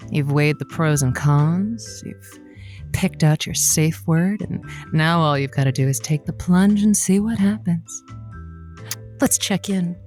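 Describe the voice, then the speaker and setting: A woman playing a sultry, teasing late-night radio hostess with a slow, theatrical, playful drawl. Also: deep voice, darkish tone, soft background music. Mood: sultry, inviting. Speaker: radio call-in host. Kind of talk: scripted audio drama, seductive radio monologue.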